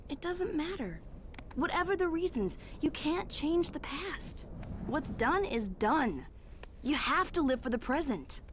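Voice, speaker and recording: A woman's voice: high-pitched, very animated, takes it slowly, a little hiss or hum, full character voice. An actress playing a carefree, flirtatious female thief in a video game, speaking in a teasing, breezy way. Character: playful carefree female cat burglar, flirty.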